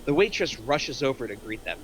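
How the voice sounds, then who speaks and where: A man's voice: medium pitch, very animated, fairly quick, slight background noise, rough recording. A man reading scripted stage directions aloud in narration at a screenplay table reading.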